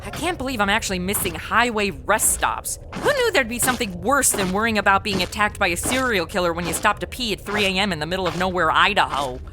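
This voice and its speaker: A woman's voice: mid-range voice, very animated, fast talker, hardly any pauses, background noise, lightly acted. A woman playing a sarcastic modern woman in a comedy audio drama, snarky, exasperated and colloquial. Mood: wry. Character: sarcastic modern woman stuck inside an old radio adventure.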